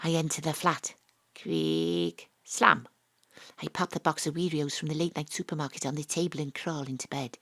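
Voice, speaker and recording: A woman's voice: deep voice, lively intonation, moderate pace, creaky, fairly bright tone, full character voice. A woman playing a wry, principled young detective with a Welsh accent, narrating and acting in an audio drama. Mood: confiding, wry.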